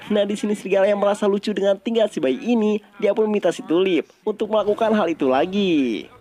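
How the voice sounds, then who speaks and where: A man's voice: high voice, quick pace, warm tone. A man with an Indonesian accent narrating a story recap in an informal, scripted style.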